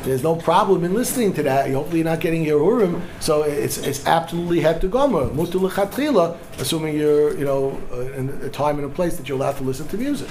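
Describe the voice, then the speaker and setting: Man, middle-aged, rabbi, lecture, Hebrew legal terms, restarts sentences, slightly high pitch. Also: expressive, fast talker, few pauses, a little mellow, slight background noise, rough recording. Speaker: rabbi teaching a class.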